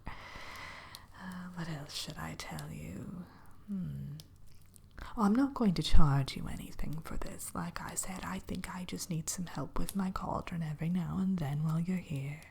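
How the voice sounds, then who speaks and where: A woman's voice: lowish voice, measured pace, a little mellow, full character voice. A woman playing a kindly, spooky witch innkeeper in a whispered ASMR roleplay, musing hesitantly with coy mischief as she tends to a guest. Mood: musing, hospitable. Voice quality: breathy, whispery.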